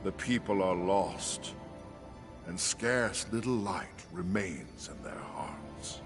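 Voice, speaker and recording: Stately male monarch, theatrical and solemn, unhurried delivery, clear game score behind. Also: sits low, full character voice. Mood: grave, foreboding. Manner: grave, measured, theatrical. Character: solemn, stately male ruler recounting prophecy. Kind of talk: video game cutscene acting, formal storytelling. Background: music.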